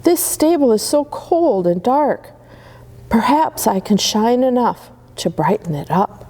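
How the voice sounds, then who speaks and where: A woman's voice: on the high side, lively intonation, a little slow, warm tone, in a large hall, slight background noise, voice pushed up. A woman voicing a tiny, sad young female star who speaks in a wistful, plaintive way.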